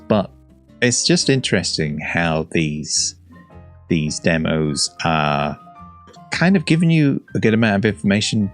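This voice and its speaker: Low-voiced man musing aloud. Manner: thinking aloud, hesitant with many fillers. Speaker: reaction video host. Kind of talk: casual musing commentary.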